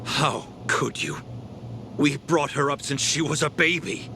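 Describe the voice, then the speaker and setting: A man's voice: fairly high pitch, steady tone, measured pace, noisy background. An actor playing an earnest, conscientious male laboratory researcher in a video game, with an emotive manner. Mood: distressed, indignant. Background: game music.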